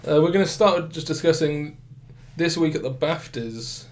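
A man's voice: fairly high pitch, moderate pace. A young British man hosting a podcast, chatting casually about games while nursing a head cold that makes him sound a bit nasal and blocked up. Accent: British English. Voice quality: slightly nasal. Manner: rambling, restarts, acts out quotes. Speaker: podcast host. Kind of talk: casual gaming chat.

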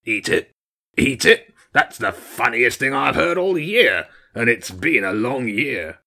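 A man's voice: medium pitch, animated, slow, creaky, sharp tone, heavily acted. A man voicing a stern, mocking old badger king in an audiobook story, with a blunt, commanding manner. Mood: mocking amusement. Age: older. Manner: blunt, scornful, commanding, short barked phrases.

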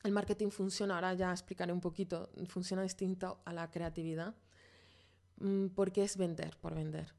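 A woman's voice: on the low side, flat delivery, fairly quick, creaky, warm tone. A woman from Venezuela, a podcast guest, chatting casually and hesitantly with many fillers. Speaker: podcast guest, graphic designer. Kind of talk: casual interview chat.

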